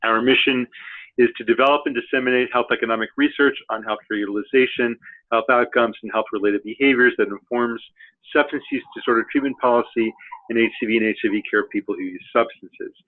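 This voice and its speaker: A man hosting a webinar, introducing the speakers in a halting way with many fillers. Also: medium pitch, steady tone. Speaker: webinar host. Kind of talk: hesitant spoken introduction. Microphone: conference call. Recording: tinny phone-line audio.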